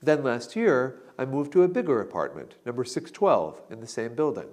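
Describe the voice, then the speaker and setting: A man narrating an English lesson, reading out model answers slowly and deliberately. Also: medium pitch, big pitch swings, darkish tone. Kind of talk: slow instructional model answers.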